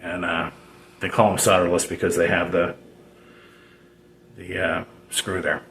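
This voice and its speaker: Deep voiced guy rambling thru a hobby how-to, kinda halting, roomy sound w some noise. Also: level tone, a bit bright. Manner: halting, rambling explanation with repeated phrases. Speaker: DIY radio hobbyist presenter. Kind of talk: casual unscripted how-to demonstration. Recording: roomy echo, noticeable background noise.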